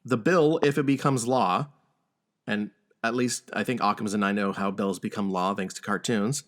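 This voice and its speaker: A man's voice: fairly low pitch, steady tone, moderate pace. A man hosting a gaming podcast, talking casually and running through news items. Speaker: podcast host.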